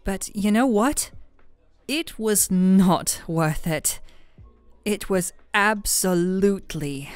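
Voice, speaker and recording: A woman's voice: mid-range voice, takes it slowly, smooth, no echo, natural voice. A woman playing a playful, affectionate young best friend in an ASMR roleplay, chatting casually and teasing her listener. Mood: chatty, exasperated. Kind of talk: ASMR roleplay audio, conversational acting. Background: soft background music.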